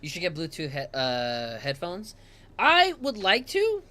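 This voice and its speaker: A man streaming a game from home on a headset, chatting casually and reading in-game text aloud, tripping over hard names. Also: fairly high pitch. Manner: reads lore in a slower, calmer voice.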